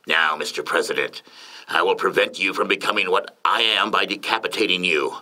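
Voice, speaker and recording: A man voicing a cocky, menacing male vampire in an audiobook, with a taunting, drawn-out delivery. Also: low-pitched, pushed and bright. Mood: cold threat.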